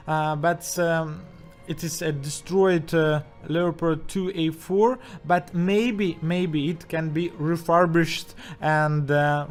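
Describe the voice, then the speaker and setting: A man speaking English with a strong Russian accent, commenting haltingly over footage with many fillers and restarts. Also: a little high, a little mellow. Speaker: channel host.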